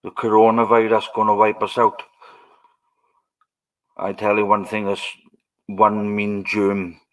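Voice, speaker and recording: Welsh man, low-pitched voice, casual rambling livestream chat, computer mic. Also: monotone, measured pace, low-quality recording. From Wales. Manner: rambling, musing aloud to viewers. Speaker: livestream host.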